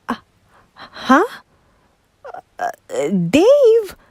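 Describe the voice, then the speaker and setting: Woman acting very high-pitched excitable young sweetheart. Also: extremely slow, a little hiss or hum, exaggerated voice. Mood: hesitant, confused. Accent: Filipino, Ilocano. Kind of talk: Ilocano radio drama acting.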